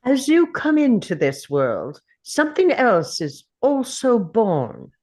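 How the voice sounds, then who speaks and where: Guy doing an ominous solemn voice-over narrator, measured and slow, really dramatic rise and fall. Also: very high-pitched, huge pitch swings, a little mellow, exaggerated voice. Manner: measured, portentous. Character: ominous, solemn narrator warning of relentless pursuit. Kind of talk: dramatic scripted voice-over at a table read.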